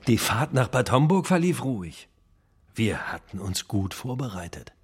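A man playing the reflective narrator of a gangster's memoirs in a radio play, telling it in a measured, literary way. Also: medium pitch, sing-song, breathy edge, faint music behind. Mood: suspenseful.

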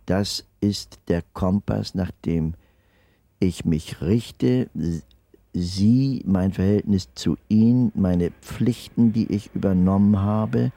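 An older man giving a slow, deliberate testimony in an interview, his phrases stressed and often trailing off into near-whispers. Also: on the low side, gravelly, mellow, no echo.

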